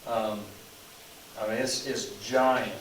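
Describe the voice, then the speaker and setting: A hoarse pastor leads a small study group in a loose, meandering way, leaning on "you know" between thoughts. He sits back from the mic, and the room rings around his voice with a faint hum underneath. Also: fairly low pitch, fairly even intonation, slow pace. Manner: rambling, frequent "you know" fillers. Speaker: pastor leading a Bible study. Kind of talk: casual teaching and group discussion. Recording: echoey room, mic at a distance.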